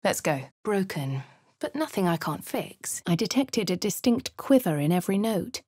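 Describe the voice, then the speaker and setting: An actress voicing a posh, wry young adventurer woman with an English accent, reading game lines and narration in a crisp, well-spoken way. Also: medium pitch, very animated, moderate pace, darkish tone, dry recording, clean studio sound. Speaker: player character voice. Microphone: studio mic, dry.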